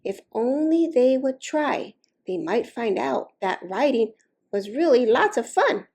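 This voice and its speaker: A woman reading a children's story aloud expressively, with slow, deliberate, dramatic emphasis on key words. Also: fairly high pitch, very animated, darkish tone, husky. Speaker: storytime reader.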